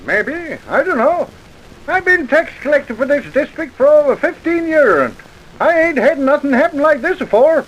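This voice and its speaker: A man's voice: very high voice, very animated, normal speed, a bit bright, full character voice. A man playing a folksy, worried older rural American tax collector in a radio drama, with a hesitant country way of talking. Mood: puzzled, concerned. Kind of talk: old-time radio drama acting. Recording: dull, hissy vintage radio recording.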